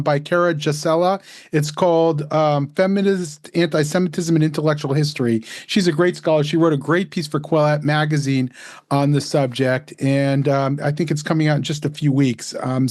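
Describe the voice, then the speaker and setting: A man's voice: on the high side, darkish tone, no room sound, studio quality. A man hosting a podcast interview, talking in a loose, rambling way as he sets up his questions. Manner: rambling with fillers and restarts. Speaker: podcast host.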